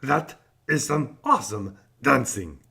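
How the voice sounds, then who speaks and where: A man's voice: mid-range voice, expressive, takes it slowly, creaky, pushed and bright, husky. A man hosting a children's show, chatting upbeat to young viewers.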